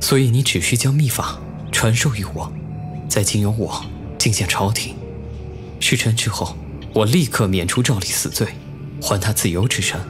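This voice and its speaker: An actor playing a scheming, lecherous young official in a Chinese costume audio drama, smug and deliberate in manner. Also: male voice, on the low side, lightly acted. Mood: cool, persuasive. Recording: music under the voice.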